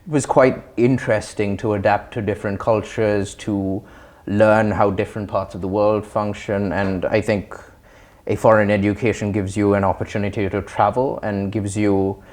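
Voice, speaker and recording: Thoughtful young man, slightly low voice. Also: little pitch movement, moderate pace, darkish tone. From Sri Lanka. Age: young adult. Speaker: interview guest. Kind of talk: reflective interview talk.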